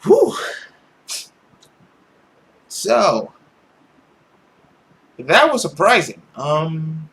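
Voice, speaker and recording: Animated man narrating a visual novel. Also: on the high side, drawn-out words, vocal fry, long pauses. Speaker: let's player and narrator. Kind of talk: dramatic reading of a visual novel with commentary.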